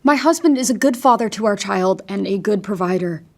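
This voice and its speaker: A woman's voice: mid-range voice, expressive, brisk, gravelly, a little mellow. An actress playing a guarded, defensive young mother in an audio drama, speaking insistently. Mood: guarded, pleading. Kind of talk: audio fiction acting.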